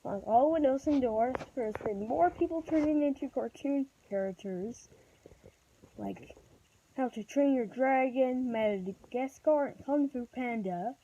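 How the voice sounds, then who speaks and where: Slow, high-voiced woman reading mock alerts. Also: dark tone. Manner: halting, stumbling reading delivery. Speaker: vlogger acting as emergency alert announcer. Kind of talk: homemade mock emergency broadcast announcement. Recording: dull, muffled home recording with noise.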